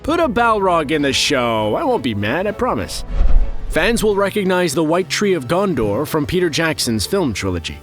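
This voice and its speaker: A man narrating a scripted explainer in a wry, playful, conversational way, with joking asides. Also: fairly high pitch. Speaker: presenter of a fantasy lore explainer video.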